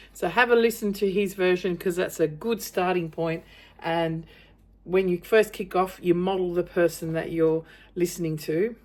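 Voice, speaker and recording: A woman with a low voice giving an informal, encouraging beginner lesson as a guitar and singing teacher. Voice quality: low female voice. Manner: encouraging, reassuring. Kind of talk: informal instructional demonstration for a beginner. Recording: acoustic guitar sounding alongside the voice. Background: acoustic guitar being strummed.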